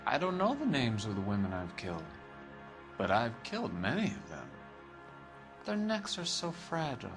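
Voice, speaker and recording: An actor playing a bitter, defiant American vagrant and ex-Marine killer in a video game, speaking in cold, contemptuous pronouncements. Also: male voice, medium pitch, wildly swooping pitch, normal speed, over music. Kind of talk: video game cutscene acting.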